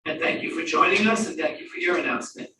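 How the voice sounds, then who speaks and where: A man's voice: on the high side, expressive, quick pace, pushed and bright. A man chairing a public meeting, polite and welcoming as he calls on speakers, picked up from across the room. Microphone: room mic from a distance. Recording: echoey room, distant mic, faint noise.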